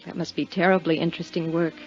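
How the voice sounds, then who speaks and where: An actress in her twenties playing a lonely young widow in a 1950s radio drama, speaking in a soft, hesitant, confiding way. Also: low-pitched, flat delivery, fast talker, faint music behind, close to an everyday voice. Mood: wistful. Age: 20s. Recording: muffled 1950s radio broadcast.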